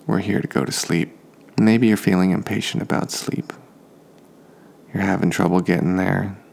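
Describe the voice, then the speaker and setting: A tired man hosting a sleep podcast, speaking softly in an unhurried, relaxed and understated ramble. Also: sits low, vocal fry. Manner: slow, deliberately relaxed, understated. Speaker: podcast host. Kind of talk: soft-spoken rambling sleep podcast monologue.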